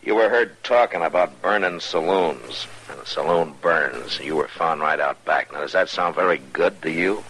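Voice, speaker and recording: A stern frontier marshal in a 1950s radio western. His voice is very deep with a bright, forceful edge, and he speaks firmly and without hurry. A faint hiss runs under the recording. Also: poor audio. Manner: firm, measured, commanding. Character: stern, steady frontier marshal, grown man. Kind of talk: 1950s radio drama acting.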